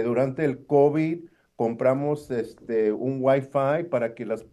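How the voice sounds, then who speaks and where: A man answers as a guest academic. His voice is mellow and of medium pitch. He hesitates often, leans on fillers and slips into English now and then. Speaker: university professor, interview guest. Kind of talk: conversational interview answers.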